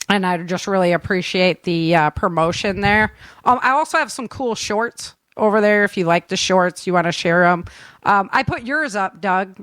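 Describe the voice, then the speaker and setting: A woman's voice: mid-range voice, fairly bright tone, clear, resonant tone, no room sound. A woman hosting a podcast in casual, upbeat and informal chat. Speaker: podcast host.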